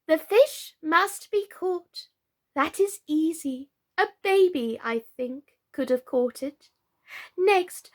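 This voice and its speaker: A woman voicing a gentle, dithering queen who coos like a pigeon and recites in a sing-song way. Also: high voice, voice pushed up, full character voice. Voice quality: cooing like a pigeon.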